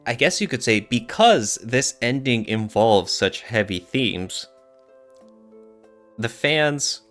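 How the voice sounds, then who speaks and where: A man's voice: mid-range voice, a bit bright. A man co-hosting a podcast, talking casually in an earnest, reflective way with some hedging. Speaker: podcast co-host.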